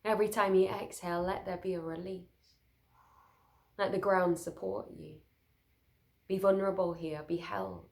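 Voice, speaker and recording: A woman leading a guided sleep meditation, speaking soothingly and gently in short, unhurried phrases with pauses. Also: on the low side, creaky.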